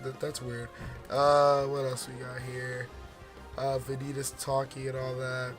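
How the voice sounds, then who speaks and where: Hoarse man rambling through trailer commentary. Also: medium pitch, monotone, unhurried, music in the background. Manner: rambling, many fillers and restarts; forcing his voice out. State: hoarse, losing his voice. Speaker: gaming video host.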